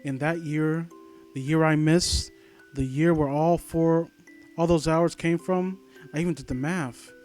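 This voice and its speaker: A man's voice: a little high, steady tone, measured pace, a little mellow. A man reading a story aloud and breaking off into sarcastic, exasperated, profane commentary. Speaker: host reading and critiquing an online horror story. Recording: video game music playing behind. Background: glockenspiel-like video game music.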